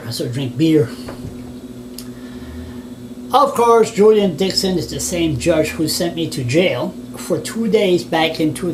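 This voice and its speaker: Canadian guy reading out his complaint, fed up, noisy recording. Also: fairly high pitch. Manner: indignant, plain-spoken, with asides and restarts. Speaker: blogger. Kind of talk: reading a written complaint aloud.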